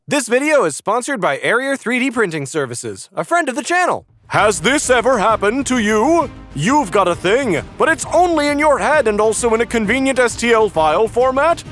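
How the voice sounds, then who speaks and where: A man's voice: extremely high pitch, very animated, normal speed, a bit bright, faint music behind. A man reading a comedic scripted sponsor ad in an exaggerated, theatrical way. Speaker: sponsor announcer.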